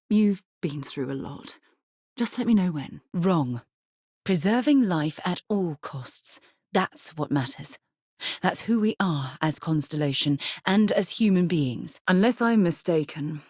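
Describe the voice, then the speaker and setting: A woman's voice: sits low, a little mellow, slightly rough, no room sound, clean studio sound, exaggerated voice. An actress playing an earnest, principled woman who leads space explorers in a video game, speaking articulately and with composure. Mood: firm, exasperated, then reflective, earnest. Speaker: voice actress for a game companion character. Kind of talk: acted video game dialogue lines.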